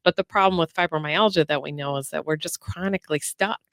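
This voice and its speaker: A woman's voice: low voice, quick pace, a bit bright, dry recording, studio quality. A woman co-hosting a health chat show, nasal from a cold, walking listeners through breathing exercises step by step in a chatty way. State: getting over a cold, nasal. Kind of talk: casual explanatory chat.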